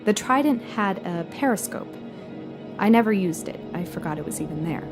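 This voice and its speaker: A woman playing a matter-of-fact space freighter captain, giving a measured official report in a scripted audio drama. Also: mid-range voice, animated, over music, lightly acted. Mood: wondering, recounting. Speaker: narrator of a fiction podcast. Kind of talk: scripted first-person audio drama narration.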